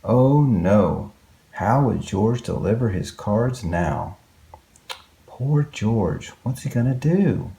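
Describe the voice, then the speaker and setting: A man's voice: fairly low pitch, animated, slow, vocal fry, warm tone. A man reading a children's story aloud expressively, with exclamations and wondering asides to young listeners.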